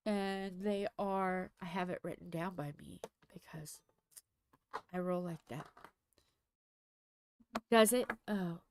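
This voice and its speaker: A woman's voice: on the low side, steady tone, slow, long pauses, darkish tone, slight background noise. A middle-aged woman hosting a diamond painting livestream, chatting casually and rambling as she thinks aloud with many fillers, a little stuffy.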